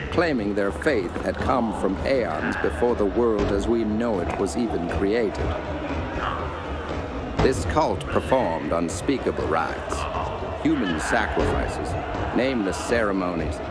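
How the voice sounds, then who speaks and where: An actor playing an old, haunted professor and former explorer, telling his tale gravely and formally in a radio drama. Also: on the low side. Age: older. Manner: measured, grave, formal storytelling. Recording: loud music under the voice.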